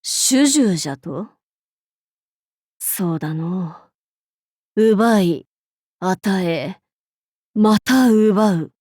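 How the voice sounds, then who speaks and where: A woman's voice: mid-range voice, animated, very slow, pauses often, dark tone, dry recording, studio quality. A woman voicing an imperious, haughty demon-king warlord in a mobile game, speaking in pompous, archaic lordly Japanese phrasing. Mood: grand, imperious.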